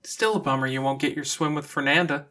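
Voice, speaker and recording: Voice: mid-range voice, steady tone, brisk, pushed and bright, lightly acted. A narrator voicing a sensible, wry and caring teenage girl in an audiobook.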